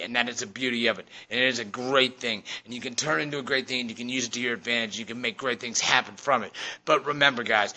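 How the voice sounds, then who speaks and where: Forceful, gravelly motivational man. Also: medium pitch. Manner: emphatic, preacher-like repetition. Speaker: motivational speaker and online business mentor. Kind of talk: impromptu motivational speech.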